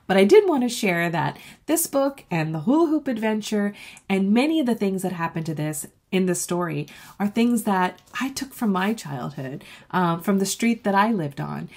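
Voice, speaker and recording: A woman's voice: medium pitch, expressive, moderate pace, darkish tone. A woman author reading a children's story aloud expressively and speaking directly to young listeners. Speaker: author reading their own book.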